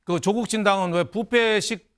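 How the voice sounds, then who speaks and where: Older man, panel commentator, folksy, fairly high voice. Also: fairly even intonation, normal speed, fairly bright tone, clean tone. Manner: folksy, uses proverbs and food jokes. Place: broadcast studio.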